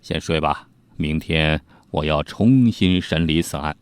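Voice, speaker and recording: A shrewd magistrate of old China, as a male storyteller plays him, speaks low and with authority. He is measured and decisive, questioning in a deliberate way. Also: clean tone, no room sound. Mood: confident, deliberate.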